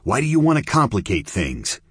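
A man's voice: medium pitch, huge pitch swings, brisk, slightly rough, no room sound, clean studio sound, voice pushed up. A man voicing a tense, angry man under pressure. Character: tense, cornered American man.

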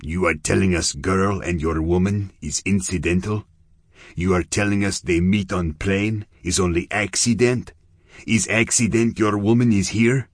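A cold, deliberate Russian criminal, as a male narrator puts him on, speaking broken English in a deep, unhurried growl. The voice sits low and husky, every word weighed. The recording is close and dry. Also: fairly even intonation, dry recording. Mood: suspicious. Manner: broken English, slow and measured. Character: menacing Russian man in a tan suit.

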